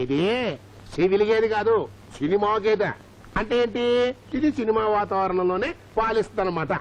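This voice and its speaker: An actor playing a cocky, bossy know-it-all who fancies himself a director, in a film comedy. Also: male voice, high voice, moderate pace, dull audio, slight background noise, lightly acted. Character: cocky, bossy comic schemer, self-styled film director. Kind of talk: Telugu film comedy acting.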